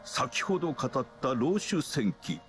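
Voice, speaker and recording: An actor playing a stately elderly king in a game cutscene, speaking gravely in formal, archaic phrasing. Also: mid-range voice, very animated, takes it slowly. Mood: ominous, measured. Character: solemn, stately elderly kings in council. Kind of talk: dramatic Japanese RPG cutscene acting. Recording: game cutscene with faint music.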